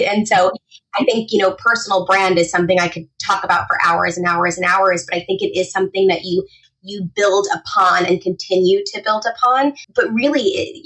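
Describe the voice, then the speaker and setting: A woman's voice: mid-range voice, fairly bright tone, rough recording. Talkative woman explaining things in an interview. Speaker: podcast guest, etiquette trainer and author. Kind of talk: casual podcast interview.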